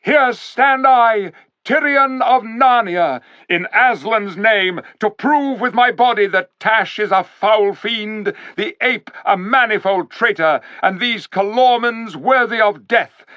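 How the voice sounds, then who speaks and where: A man voicing a young king who rallies his followers with loud, ringing battle cries. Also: extremely high pitch, steady tone, measured pace, few pauses, dry recording, lo-fi sound, voice pushed up. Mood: commanding.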